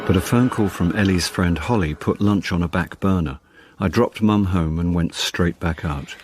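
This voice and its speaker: An actor playing a wry middle-aged amateur sleuth and put-upon son, in first-person radio drama with an understated manner. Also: male voice, low-pitched, normal speed, noisy background, close to an everyday voice. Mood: brisk. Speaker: narrator and lead character.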